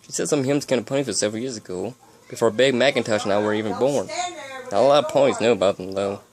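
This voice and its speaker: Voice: mid-range voice, rapid-fire, a crowd in the background, close to an everyday voice. A reader voicing a plain-spoken female farm pony with a Southern US accent, folksy and matter-of-fact, in a storybook. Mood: recollecting.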